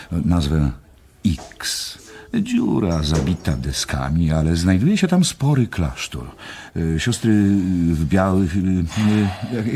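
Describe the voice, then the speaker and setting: A long-winded war correspondent in a radio play. The voice is low and very rough, leaping widely in pitch as he rambles wryly through his story with hesitations. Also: a little mellow, exaggerated voice.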